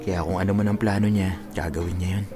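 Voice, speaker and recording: Actor as deep-voiced heartbroken young Filipino man. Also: fairly even intonation, quick pace, close to an everyday voice. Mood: resigned. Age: young adult. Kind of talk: Tagalog radio drama acting. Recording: dramatic music loud under voice.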